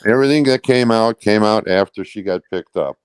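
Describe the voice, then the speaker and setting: A man's voice: on the low side, fairly quick, smooth. A man talking casually as an interview guest, recounting personal events in a rambling, blunt, colloquial way. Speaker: truck driver.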